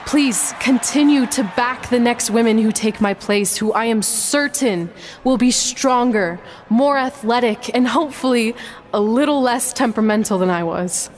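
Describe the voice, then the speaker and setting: An actress playing a seasoned champion wrestler in a video game, speaking in a measured, sincere, wistful way. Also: female voice, a little high, a little hiss or hum, lightly acted. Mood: wistful, grateful. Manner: measured, reflective, deliberate. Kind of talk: video game cutscene voice acting.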